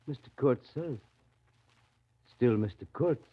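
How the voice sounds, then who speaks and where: An actor playing a ragged, awestruck Russian devotee in a 1930s radio drama, with a hesitant, reverent, confiding way of talking. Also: male voice, medium pitch, animated, takes it slowly, husky. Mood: faint, relieved. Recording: muffled 1930s radio recording.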